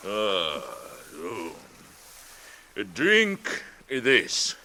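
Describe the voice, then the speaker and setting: An actor playing an ancient, kindly tree-giant in a British radio drama, ponderous and musing, with old-fashioned phrasing and a temper that can be stirred. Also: male voice, on the low side, huge pitch swings, extremely slow, bright, forceful tone, a little hiss or hum, exaggerated voice. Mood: kindly, unhurried.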